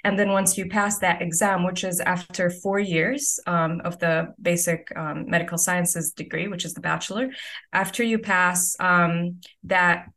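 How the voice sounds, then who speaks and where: Lowish, gravelly woman explaining hesitantly. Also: little pitch movement, measured pace, a bit bright. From Saudi Arabia. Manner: hesitant, many ums and uhs, explanatory. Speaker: guest physician-scientist. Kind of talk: conversational interview answers.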